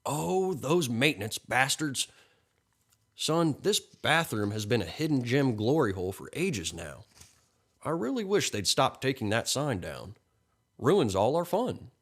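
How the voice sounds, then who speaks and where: A man's voice: mid-range voice, big pitch swings, a little slow, voice pushed up. A man voicing a friendly, pushy, folksy middle-aged stranger in an audiobook story, with a funny accent. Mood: folksy, apologetic. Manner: folksy, chummy, coaxing.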